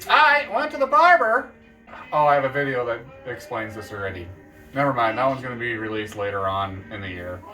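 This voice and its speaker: A man chatting casually to camera about books, animated and quick to jump up in excitement. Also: far from the mic, poor audio. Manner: animated, jumps up in pitch with excitement. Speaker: book vlogger.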